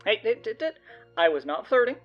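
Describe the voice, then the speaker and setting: A woman's voice: on the high side, big pitch swings, brisk, muffled recording, soft background music. An actress voicing a teenage boy who gets flustered and defensive and stammers. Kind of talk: audio drama acting.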